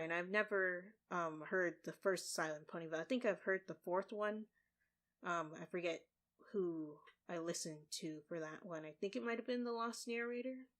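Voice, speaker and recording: A woman hosting a reaction video, chatting casually and rambling with frequent ums. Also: sits low. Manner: relaxed, rambling, with many ums. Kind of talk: casual commentary.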